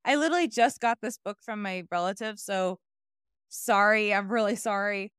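A young woman hosting a casual podcast chat, swearing freely, excitable and quick to apologize. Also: medium pitch, clean tone, no room sound, clean studio sound. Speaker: podcast host presenting research.

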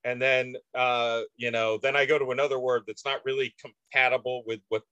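Casting: man as informal podcast host, medium pitch, halting and modest delivery, dry sound. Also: level tone, sharp tone, smooth, dry recording, highs cut off. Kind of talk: casual chat.

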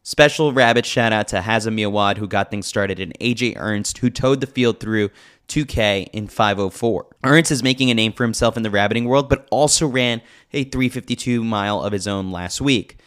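Guy reading a sports column, clean smooth voice, bit on the low side, kinda dry jokes. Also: normal speed, a bit bright. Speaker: newsletter reader, podcast host. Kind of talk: scripted narration of a sports newsletter.

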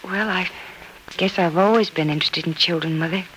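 An actress playing a selfless, earnest young American daughter in a sincere, emotive old radio soap opera style. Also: female voice, lowish voice, brisk, fairly bright tone, close to an everyday voice. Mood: wistful, earnest. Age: young adult. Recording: noisy vintage radio drama recording.

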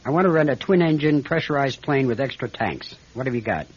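A man's voice: medium pitch, lively intonation, brisk, husky. A man playing a troubled, determined airline captain in an old-time radio drama, speaking in terse, commanding bursts. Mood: businesslike. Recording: noisy muffled radio drama recording.

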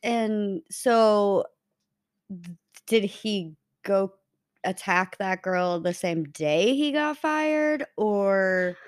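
A woman co-hosting a podcast, asking follow-up questions in a halting, casual way. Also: medium pitch, no echo, studio quality. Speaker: podcast co-host.

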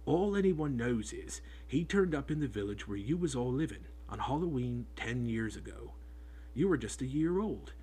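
A man reading an audiobook, voicing a big rough-spoken groundskeeper who talks in homely, clipped speech. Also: medium pitch, lively intonation, normal speed, dark tone. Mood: worried storytelling. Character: big rough-spoken male groundskeeper, gruff but kind. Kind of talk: audiobook character voice.

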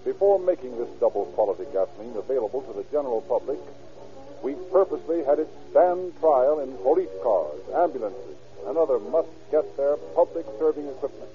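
A man's voice: medium pitch, animated, fairly quick, soft background music. A man announcing and narrating a 1930s radio program in a formal, declamatory, scripted manner. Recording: tinny, band-limited 1930s radio recording.

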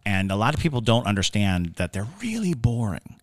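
Male co-host, low register, measured explanatory conversational delivery, dry studio-close sound. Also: very animated, no echo. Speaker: podcast co-host. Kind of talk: casual explanatory talk.

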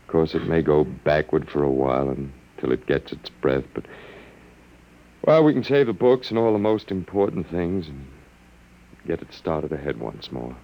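An actor playing an earnest, gently wry last man on earth, halting and coaxing, in old radio drama style. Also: rumbling low, big pitch swings, vocal fry, dull audio, full character voice. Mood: earnest, musing. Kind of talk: 1950s radio drama acting. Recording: noisy vintage radio drama recording.

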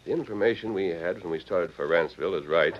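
Man playing a low-voiced, resolute cowboy hero. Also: natural voice. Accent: American. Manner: measured, assured, earnest. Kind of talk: old-time radio Western acting. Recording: muffled, faintly noisy old radio recording.

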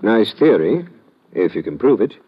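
An actor playing an affable but sceptical British official, breezy and understated, in an old radio drama. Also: male voice, on the low side, sing-song, normal speed. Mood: dry, affable. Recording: dull old radio recording.

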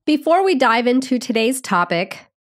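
Woman, somewhat high voice, nasal, congested from a cold, podcast teacher, friendly and explanatory. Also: big pitch swings, moderate pace. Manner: friendly, explanatory, addresses students directly. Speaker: nursing educator and podcast host.

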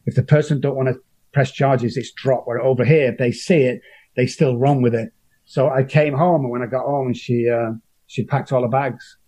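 A middle-aged man from Manchester speaks with a strong local accent at an ordinary pitch. He tells his story earnestly and emotionally, often stopping and restarting his sentences. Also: steady tone, normal speed, mellow. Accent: Manchester English. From Manchester, England. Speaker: guest. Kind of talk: personal recovery story.

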